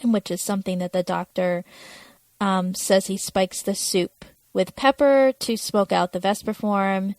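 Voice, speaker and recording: A woman's voice: medium pitch, fairly even intonation, unhurried, darkish tone, no echo. A woman talking casually as a podcast co-host, chatting through a television episode. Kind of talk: casual chat about a TV episode.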